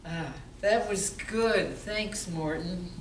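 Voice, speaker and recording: A woman's voice: fairly low pitch, slow. A woman playing a flirty barmaid and undercover cop in an amateur radio play. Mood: flirtatious. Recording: roomy, distant mic, faint hiss.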